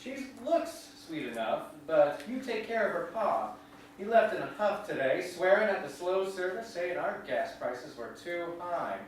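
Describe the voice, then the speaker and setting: A neighborly, gruff small-town gas station man, played by a woman in a stage reading. The delivery is folksy and very animated in pitch. It echoes heavily, caught by a distant mic. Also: unhurried. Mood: cautioning. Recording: very echoey hall, distant mic, faint noise.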